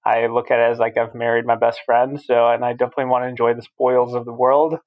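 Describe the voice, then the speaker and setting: A man's voice: medium pitch, level tone, fast talker, highs cut off. A man in his thirties from Albania, a podcast guest talking casually and telling his story steadily. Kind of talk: casual interview chat.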